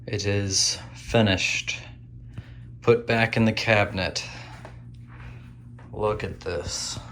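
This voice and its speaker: A man's voice: on the low side, steady tone, slow, a bit bright. A man narrating a casual hands-on restoration vlog as he works in a small workshop. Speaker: video host and radio restorer. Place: tiny home workshop. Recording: clear background noise.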